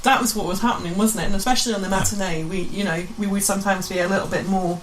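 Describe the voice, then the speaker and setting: A woman's voice: medium pitch, steady tone, very fast, slight background noise, poor audio. A woman in casual conversation as a guest, chatting away and often adding tag questions. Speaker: guest, amateur pantomime director. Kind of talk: casual chat.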